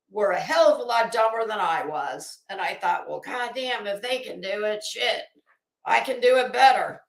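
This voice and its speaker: Casual livestream host: American woman, middle-aged, high pitch, unhurried delivery with heavily stressed words, blunt and sweary. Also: a bit bright. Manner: blunt, opinionated, profane. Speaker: YouTube commentary host. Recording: roomy, echoey room sound.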